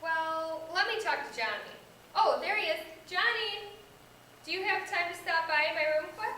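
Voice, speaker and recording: A woman's voice: extremely high pitch, expressive, moderate pace, pushed and bright, heavily acted. A young American woman playing a patient, supportive reading teacher in amateur reader's theater, with an instructive scripted delivery. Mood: friendly. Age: young adult. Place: echoey indoor room. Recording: echoey room, distant microphone, background hiss.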